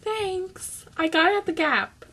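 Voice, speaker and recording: A woman's voice: very high-pitched, lively intonation, unhurried, pushed and bright, voice pushed up, heavily acted. A woman voicing a meek, apologetic young female servant doll in a homemade comic story.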